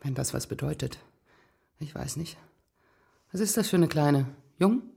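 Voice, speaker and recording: Creaky, volatile, vengeful rich woman. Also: low voice, lively intonation, mellow, heavily acted. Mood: bitter. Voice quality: creaky voice, often breaking into whispers. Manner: intense, halting, volatile. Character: wealthy, aging woman, obsessive, wounded and vengeful lover. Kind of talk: radio drama acting.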